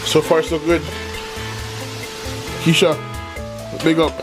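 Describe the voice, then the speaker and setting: A man's voice: fairly high pitch, completely flat delivery, slow, music in the background. A man cheerfully hosting a family vlog, joking and bantering from his home kitchen. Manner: upbeat, joking host banter. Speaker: family channel host. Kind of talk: casual vlog chat.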